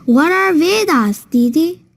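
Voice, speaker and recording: A woman's voice: very high voice, animated, takes it slowly, full character voice. A woman voicing an eager, curious young child who asks wide-eyed questions in a children's educational cartoon.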